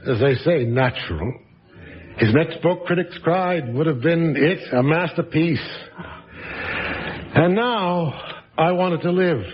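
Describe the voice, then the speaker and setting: A man's voice: a little high, breathy edge, muffled recording. An actor playing a wry, reflective former novelist in a radio drama, musing over his past.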